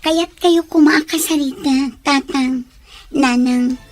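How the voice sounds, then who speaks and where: An actress playing a respectful young woman, a dutiful daughter, in a radio drama, soft-spoken. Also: high-pitched, fairly even intonation, takes it slowly.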